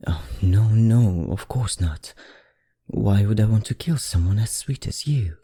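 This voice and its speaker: A performer voicing a seductive, teasing vampire librarian in an ASMR roleplay, with a put-on foreign accent, breathy and husky, often whispering, in slow, soothing, formal speech. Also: medium pitch, mellow, no echo, studio quality. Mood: reassuring.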